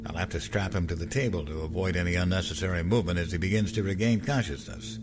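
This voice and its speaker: An actor playing a careful, clinical starship doctor in an audio drama, precise and explanatory. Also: male voice, low voice, fairly even intonation, quick pace, music in the background, natural voice. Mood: clinical, grave.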